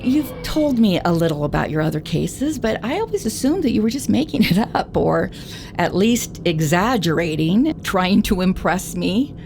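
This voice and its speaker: An actress playing a candid, gently teasing love interest in a detective radio drama, speaking in a sincere, conversational way. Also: female voice, medium pitch, big pitch swings, normal speed, gravelly, noisy background. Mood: sincere, admiring.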